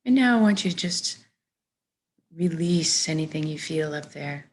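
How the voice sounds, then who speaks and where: Low-pitched woman leading guided meditation. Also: lively intonation, moderate pace. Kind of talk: slow guided meditation, soothing instruction.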